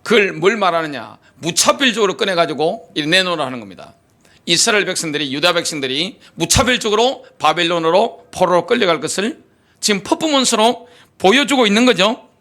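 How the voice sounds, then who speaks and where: Animated high-voiced preaching man. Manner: animated preaching with rhetorical questions, reads scripture aloud. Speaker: pastor. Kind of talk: sermon.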